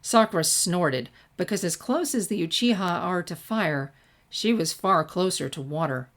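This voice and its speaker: Woman narrating a story, lowish voice. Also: lively intonation, moderate pace. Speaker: audiobook-style reader of fan fiction.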